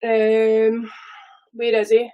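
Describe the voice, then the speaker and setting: A woman's voice: a little high, monotone, drawn-out words, warm tone. A woman in her thirties with a Scottish accent, chatting casually and rambling on a live stream, swearing now and then. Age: 30s.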